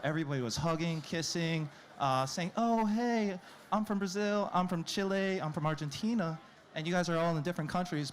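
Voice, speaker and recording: A man from New York giving a live conference presentation in a conversational manner. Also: on the high side. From New York, United States. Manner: conversational, anecdotal. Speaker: conference presenter, programmatic director. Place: conference stage before an audience.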